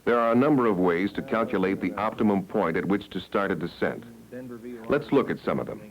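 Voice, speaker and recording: A man with an American accent reading scripted training film narration in a measured, instructional way, on an old 1970s film soundtrack. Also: sits low, expressive, highs cut off, a crowd in the background.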